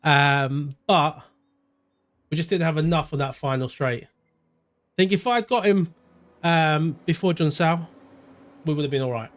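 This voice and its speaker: A man from Portugal streaming a racing game live, shouting and crying out during close racing moments, then chatting calmly with viewers. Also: on the high side, measured pace, pauses often. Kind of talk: live gameplay commentary and chat reading.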